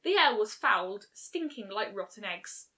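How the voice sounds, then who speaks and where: A woman's voice: medium pitch, sing-song, normal speed, pushed and bright. A woman narrating a scripted fan fiction story as its reader.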